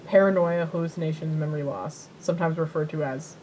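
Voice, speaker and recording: A woman's voice: low voice, fairly even intonation, fast, dark tone, a little hiss or hum, low-quality recording. A woman co-hosting a podcast in casual chat, rambling and repeating herself as she tells things. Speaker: podcast co-host.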